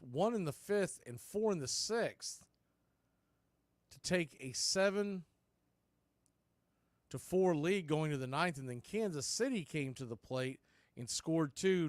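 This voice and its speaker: Dry-sounding man calling baseball play-by-play. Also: no room sound. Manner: clipped pitch calls mixed with conversational asides. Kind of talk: live sports play-by-play commentary with scoreboard asides.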